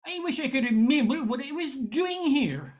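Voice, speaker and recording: An older woman playing a confused, gentle middle-aged man in an amateur radio play, with a halting, stammering delivery. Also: high voice, animated. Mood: confused, hesitant. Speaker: amateur radio drama actor.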